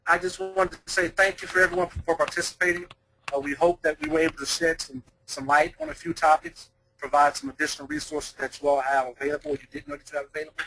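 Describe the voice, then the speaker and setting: A man's voice: fairly high pitch, lively intonation, fast, pushed and bright, poor audio. A man, a deputy fire chief, co-presenting a webinar in a conversational way with frequent fillers and restarts.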